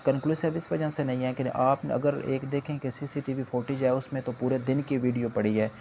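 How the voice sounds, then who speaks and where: Gravelly Pakistani man giving a lecture. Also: mid-range voice, steady tone, dark tone, a little hiss or hum. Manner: code-switches into English terms, slow deliberate reading of quoted points. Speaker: law lecturer. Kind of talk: explanatory lecture, reading out points and explaining them.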